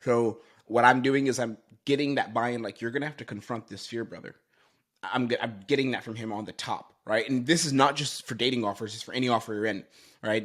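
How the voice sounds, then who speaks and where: Guy doing sales coach breakdown, talks real fast, lowish voice, emphatic, repeats stuff for stress. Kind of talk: explanatory commentary on a recorded sales call.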